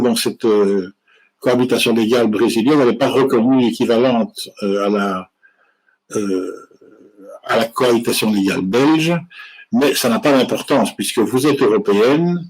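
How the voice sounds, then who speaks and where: A man's voice: mid-range voice, normal speed, poor audio. A man working as an immigration lawyer, answering viewer questions live and explaining the law with frequent hesitations and restarts.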